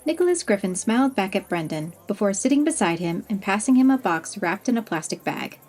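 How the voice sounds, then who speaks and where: A woman narrates a fantasy audiobook with an expressive, steady reading. Her voice is mid-pitched and a little breathy. Faint music plays beneath her. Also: brisk. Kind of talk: scripted storybook narration.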